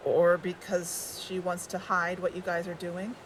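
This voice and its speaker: An actress playing a quiet, guarded woman who asks tentative, probing questions in a naturalistic audio drama. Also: lowish voice, flat delivery, measured pace, a little hiss or hum, close to an everyday voice, low-quality recording. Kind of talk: naturalistic audio fiction acting.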